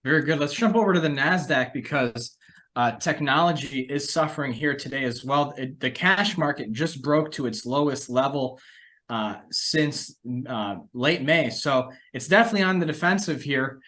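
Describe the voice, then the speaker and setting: A man's voice: medium pitch, normal speed, a bit bright. A man, hosting a conversational discussion of financial markets as an analyst.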